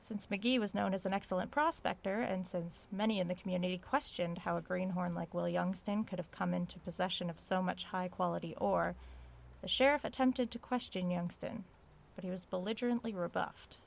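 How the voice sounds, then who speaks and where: An actress playing a curious, self-aware researcher in an audio drama, recording a spoken log in a conversational, measured way. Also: female voice, on the low side, fairly even intonation, vocal fry. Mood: absorbed, measured. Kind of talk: audio drama log, reading aloud and musing.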